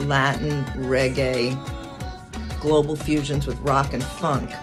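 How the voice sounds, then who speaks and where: A woman from Ecuador giving an earnest, measured scripted narration as a singer and activist. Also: extremely low pitch, flat delivery. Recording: narration over clear music. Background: music.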